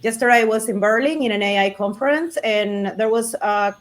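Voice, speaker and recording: A woman's voice: fairly high pitch, brisk. Frank woman from Costa Rica. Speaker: panelist, data program lead. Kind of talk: casual panel talk. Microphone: video call.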